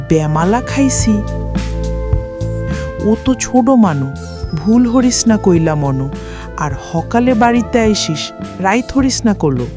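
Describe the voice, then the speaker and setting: A woman with a Barisal Bengali accent playing a gentle elderly village grandmother in a cartoon, coaxing and motherly. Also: medium pitch, lightly acted.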